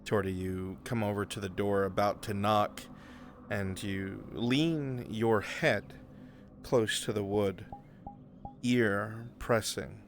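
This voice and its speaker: A man working as game master, narrating a live fantasy roleplay in a deliberate manner. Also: on the low side, steady tone, slight background noise.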